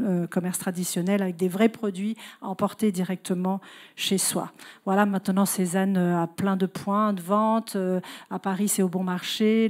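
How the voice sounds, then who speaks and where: Woman presenting, halting and hesitant. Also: medium pitch, a little mellow. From Italian-German, grew up in several countries. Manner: hesitant, halting delivery with frequent self-corrections. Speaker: guest speaker, retail consultant. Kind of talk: conference presentation.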